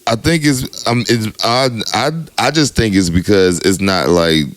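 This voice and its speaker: A young adult man with a very deep voice, co-hosting a podcast in casual chat, rambling with lots of fillers. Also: steady tone, a little slow, no room sound. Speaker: podcast co-host, DJ.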